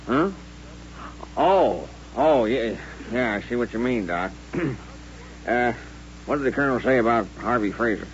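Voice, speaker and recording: An actor playing a plain-spoken, wry frontier barkeep with a folksy way of talking in an old-time radio western. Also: male voice, medium pitch, animated, a little slow, pauses often, natural voice. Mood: puzzled, gossipy. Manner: folksy, colloquial. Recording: dull, noisy, humming old radio recording.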